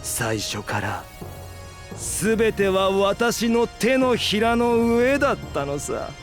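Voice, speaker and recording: A man's voice: high-pitched, big pitch swings, slow, faint music behind, heavily acted. An actor playing a gloating, megalomaniac scheming villain in an audio drama, theatrical and condescending, drawing out his taunts. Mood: triumphant, mocking. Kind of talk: Japanese audio drama acting.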